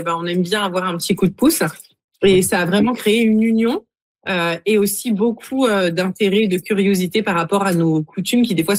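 A woman with a strong accent from France speaks at an ordinary mid pitch. She tells her experiences in a lively way as a radio interview guest, with some fillers along the way. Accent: France French.

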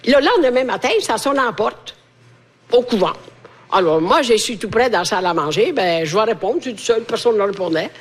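Older woman, fairly high pitch, animated anecdotes, theatrical exclamations. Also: a little fast. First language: Italian. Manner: exuberant, theatrical, acts out dialogue and exclaims. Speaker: interview guest.

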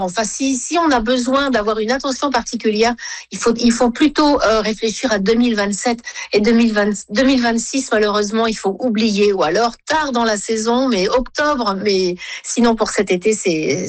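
A woman's voice: a little high, normal speed, no echo. Woman with a Saint-Pierre French accent. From Saint-Pierre-et-Miquelon. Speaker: travel agency president. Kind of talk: radio interview guest.

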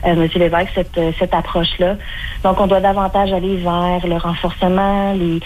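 Québécois woman, low flat voice. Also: moderate pace, phone-quality sound, a little hiss or hum. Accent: Québécois French. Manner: hesitant, many fillers and restarts. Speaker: clinical spokesperson, radio guest. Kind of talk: radio interview answers.

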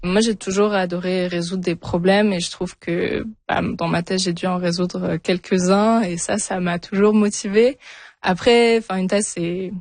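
A woman's voice: on the low side, steady tone, normal speed, a little mellow, no room sound. A young woman from France answering interview questions casually, hesitating often with fillers and restarts. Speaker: interview guest, new PhD in physics.

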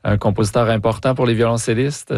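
A man interviewing a guest, asking questions and guiding gently with fillers. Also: mid-range voice, fairly even intonation, fast, no echo, clean studio sound. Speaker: interviewer, host. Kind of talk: radio interview.

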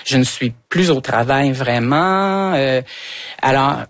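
A woman in her sixties with a Quebec French accent, calling into a radio show and talking slowly through her thoughts with many fillers and restarts. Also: rumbling low, expressive, no echo. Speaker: radio caller. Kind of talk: reflective radio conversation.

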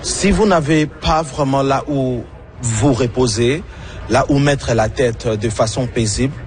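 A man's voice: mid-range voice, a little slow, faint music behind. Earnest man in his thirties. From Democratic Republic of the Congo. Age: 30s. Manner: earnest, repeats words while searching. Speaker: municipal candidate. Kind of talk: interview answers.

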